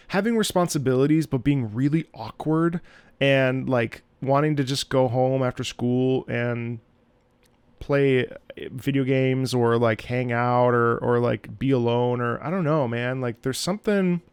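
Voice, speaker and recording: Musing man, dry close sound, gravelly edge. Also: medium pitch, no room sound. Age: 30s. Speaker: reactor and host, opera singer. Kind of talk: casual reaction commentary.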